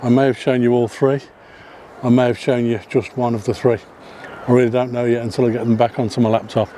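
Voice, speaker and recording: Guy chatting to camera on a hill walk, mellow voice, lots of ums, a bit of outdoor hiss. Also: medium pitch, level tone, brisk. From not Welsh. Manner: chatty, with frequent ums and self-corrections. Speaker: photography vlogger. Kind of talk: casual vlog narration while walking. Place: outdoors on a hillside path above a seaside town.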